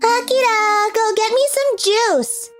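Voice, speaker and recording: A woman's voice: very high-pitched, slow, soft background music. A woman voicing a haughty, scornful, bossy teenage schoolgirl in a manga dub. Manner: scornful, commanding.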